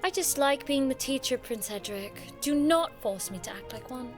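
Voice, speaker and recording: A woman's voice: high-pitched, lively intonation, moderate pace. A woman acting in an audio drama as a proud, witty young noblewoman who studies magic, speaking in a wry, formal, haughty way. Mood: teasing. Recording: music behind the voice.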